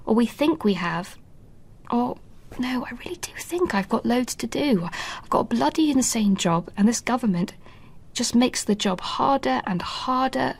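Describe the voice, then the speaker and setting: An actress playing a middle-aged wife and mother in a radio drama, earnest and anxious, thinking aloud in a soft voice. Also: fairly high pitch, lively intonation, normal speed, creaky, husky, heavily acted.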